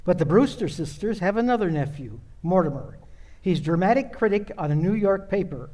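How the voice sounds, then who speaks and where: A man's voice: high-pitched, expressive, fairly quick, dark tone. A man acting as the genial storytelling narrator of an old-time radio suspense play. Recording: faint music behind.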